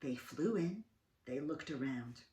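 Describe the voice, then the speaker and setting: A woman reading a storybook aloud to children, expressive and sing-song, with questioning asides. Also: low-pitched, a little slow, distant mic, slight background noise. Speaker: children's read-aloud host. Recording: roomy, set back from mic.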